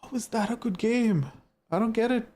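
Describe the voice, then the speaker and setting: A man's voice: extremely high pitch, normal speed, dark tone. A young man from India with an Indian accent, chatting casually with his live-stream viewers and reacting to their messages.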